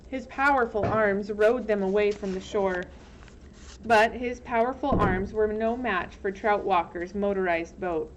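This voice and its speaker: Slow, measured woman reading a story aloud. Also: mid-range voice, highs cut off, a little hiss or hum. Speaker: audiobook reader. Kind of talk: scripted storybook narration.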